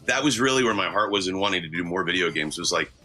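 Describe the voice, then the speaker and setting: A man's voice: sits low, expressive, racing, bright, forceful tone, soft background music. A middle-aged man giving reflective interview answers as a guest, earnest and wordy with self-mocking humour. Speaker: guest voice actor.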